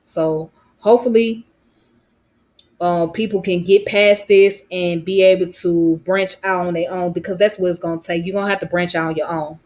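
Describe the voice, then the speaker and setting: Woman, strong Georgia accent, fairly low voice, casual vlog rant, slightly worn voice, loose repetitive talk. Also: fairly even intonation, normal speed, darkish tone. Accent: Georgia Southern US. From Georgia, US South. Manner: loose and repetitive, with frequent "you know what I'm saying" fillers. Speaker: vlogger. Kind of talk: casual rambling monologue.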